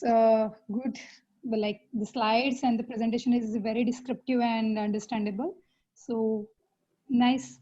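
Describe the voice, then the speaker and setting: Woman moderator in a Q&A, mellow and hesitant, dull call audio. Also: a little high. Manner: hesitant, with many fillers. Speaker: workshop moderator.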